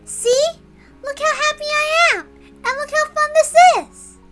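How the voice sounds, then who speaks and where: A woman's voice: very high voice, fairly even intonation, a little slow, sharp tone, voice pushed up, heavily acted. A woman voicing a cheerful, earnest little girl witch from a visual novel, reassuring as she teaches. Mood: gleeful. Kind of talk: visual novel character read aloud. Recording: music behind the voice.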